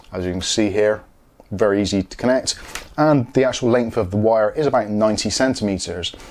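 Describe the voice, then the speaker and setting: A man narrates a casual product review into a lapel mic. His voice sits at an average pitch for a man. He explains things plainly and practically, in a matter-of-fact manner. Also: a little mellow.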